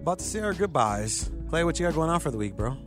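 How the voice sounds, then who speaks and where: A man's voice: on the high side, sing-song, quick pace, music in the background. A man in his thirties hosting a sports radio show in a studio, joking and teasing his co-host in casual banter. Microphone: studio microphone.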